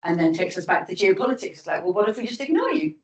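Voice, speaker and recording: A woman's voice: lowish voice, big pitch swings, fast, lo-fi sound. A woman giving a guest lecture and answering audience questions, thoughtful, often restarting and reframing as she goes. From United Kingdom. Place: lecture hall. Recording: echoey lecture hall, mic from far off.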